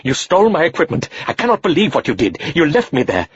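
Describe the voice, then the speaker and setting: A man voicing a furious adult male agent, a pilot who shouts over the noise of a plane. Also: mid-range voice, animated, brisk, highs cut off, pitched above the performer's own voice, natural voice.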